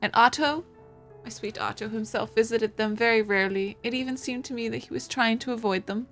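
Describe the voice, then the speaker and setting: A woman's voice: a little high, a little fast, faint music behind, lightly acted. A woman voicing an anxious, coy young newlywed noblewoman in a visual novel, in a theatrical manner with a wandering put-on accent. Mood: worried, guarded.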